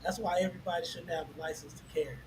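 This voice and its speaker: A man co-hosting a casual podcast, reasoning out his views in a measured way. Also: fairly high pitch, fairly even intonation, noisy background. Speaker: podcast co-host. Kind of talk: casual chat.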